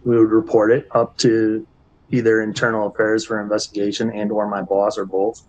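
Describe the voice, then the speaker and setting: A middle-aged man with a Wisconsin accent, a chief deputy sheriff giving short formal sworn answers over a video call, his voice a little raspy from talking all day. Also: lowish voice, monotone, normal speed, a little mellow, poor audio.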